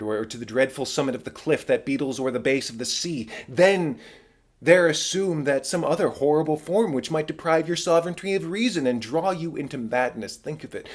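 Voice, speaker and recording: A man's voice: fairly high pitch, pitched above the performer's own voice. A man voicing an anxious, loyal companion warning his prince, earnest and theatrical, in a dramatic Shakespeare audiobook.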